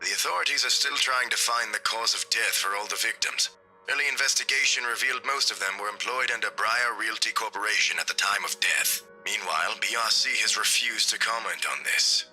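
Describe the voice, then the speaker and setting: A man's voice: lowish voice, fairly quick, sharp tone, soft background music, poor audio. A man playing a formal news announcer in a game, reading a broadcast with clipped delivery through a radio filter. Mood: formal, serious.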